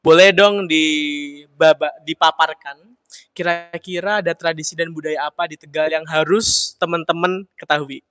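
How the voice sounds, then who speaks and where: Young male interviewer from Solo, upbeat and chatty, bright tone, dry close recording. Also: on the high side, steady tone, moderate pace, smooth, no echo. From Solo, Central Java. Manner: upbeat, repeats "oke, siap". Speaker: interviewer host. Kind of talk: casual interview chat.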